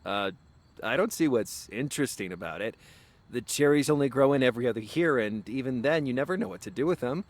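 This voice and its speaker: An actor playing a brisk, practical but awkward businessman in a visual novel, earnest and a little hesitant. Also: medium pitch, lively intonation, no room sound, natural voice. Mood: dismissive.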